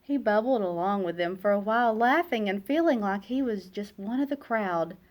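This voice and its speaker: A woman narrating a children's picture book aloud in an expressive, dramatic way, with emphatic pauses and hushed phrase endings. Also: mid-range voice. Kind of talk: expressive storybook read-aloud.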